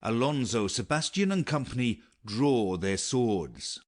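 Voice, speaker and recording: A male narrator reads stage directions for a classic play audiobook. The delivery is unhurried and expressive, with lively movement in a medium-pitched voice.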